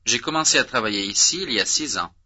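A man reading out language drill prompts as an instructor, slow and clearly articulated. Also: mid-range voice, animated, pushed and bright. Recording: dull lo-fi tape recording.

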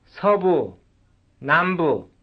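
Man, drill instructor, slow measured cues, fairly high voice, muffled lo-fi sound. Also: very animated. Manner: slow, measured cue words, one at a time. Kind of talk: reading substitution drill cues. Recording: dull lo-fi recording.

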